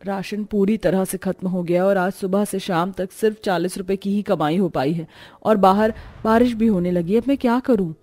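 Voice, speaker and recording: A woman voicing a poor young married woman in a cartoon story, gentle, concerned and practical. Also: medium pitch, normal speed, soft background music, close to an everyday voice. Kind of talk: cartoon story character dialogue.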